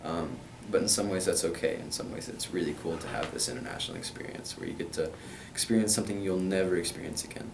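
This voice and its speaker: Low-voiced young man, echoey and distant. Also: very animated, fast talker, vocal fry, hardly any pauses, background noise. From Kentucky, USA. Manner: earnest, many hesitant fillers. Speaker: interviewee, international school student. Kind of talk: answering interview questions.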